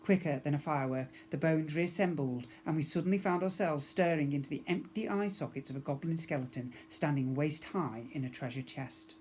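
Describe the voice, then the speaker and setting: A man's voice: fairly high pitch, fairly quick, few pauses, darkish tone, a little hiss or hum. Man reading a storybook expressively. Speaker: teacher reading to young children.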